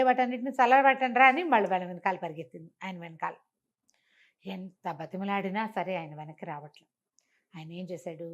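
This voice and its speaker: An older woman recounts a moral folk tale in an interview setting. She slips into the characters' lines as she tells it, in a voice of ordinary pitch. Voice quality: animated, acts out the characters' lines within the telling. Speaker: storyteller.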